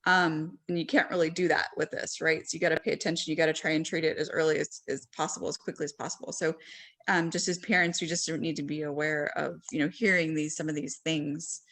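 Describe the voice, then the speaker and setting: A woman's voice: sits low, flat delivery, fast talker, fairly bright tone. A woman talking on a discussion panel as a parent, casual and conversational, with many ums and a voice that trails off at the ends of thoughts.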